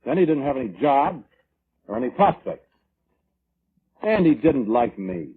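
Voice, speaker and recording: A man's voice: mid-range voice, sing-song, a little slow, slight background noise. An actor playing a selfish, self-justifying man in an old-time radio drama, defensive and colloquial in manner. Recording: muffled vintage radio recording.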